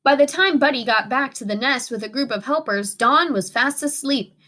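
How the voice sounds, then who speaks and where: A woman's voice: high-pitched, moderate pace, sharp tone. A woman teacher reading a children's story aloud expressively, with dramatic emphasis for young listeners.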